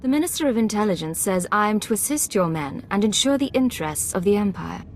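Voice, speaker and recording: A woman's voice: mid-range voice, lively intonation, fast, slight background noise, natural voice. A woman playing a cool, assertive Imperial intelligence agent in a video game. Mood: firm, authoritative.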